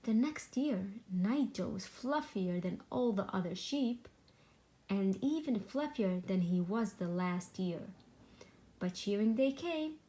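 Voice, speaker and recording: A woman reading a children's storybook aloud with deliberate, dramatic, expressive narration. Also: mid-range voice. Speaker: storybook reader.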